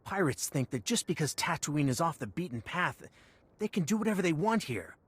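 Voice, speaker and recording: An earnest male settler in a video game, with a husky voice that swings widely in pitch. He is loyal and indignant, urging with passion. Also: very animated, a little fast. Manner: impassioned, urging.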